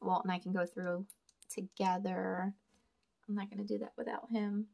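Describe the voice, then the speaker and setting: Young woman vlogger, gravelly fry, chatty, thinking aloud to viewers, mid pitch, indoor tabletop camera. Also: flat delivery, normal speed, darkish tone. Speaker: storage unit reseller and vlogger. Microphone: camera over a table, hands only in frame.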